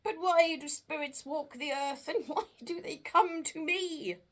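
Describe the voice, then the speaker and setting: A frightened old miser man pleads with a ghost, as a woman reading aloud puts him on. His voice climbs high and strained, quick and anxious.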